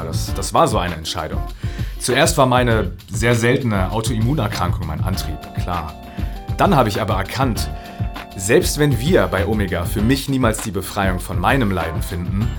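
Actor as deep voiced tech ceo guy, solemn visionary, self-important, guitar music behind him. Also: lively intonation, moderate pace, close to an everyday voice. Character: polished, visionary male tech company founder, self-important. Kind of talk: staged interview soundbite.

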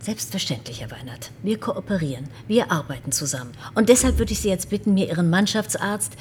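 An actress playing a brusque, sardonic and stubborn police detective in a TV crime drama. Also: female voice, mid-range voice, lively intonation, brisk, a little mellow, slight background noise, natural voice.

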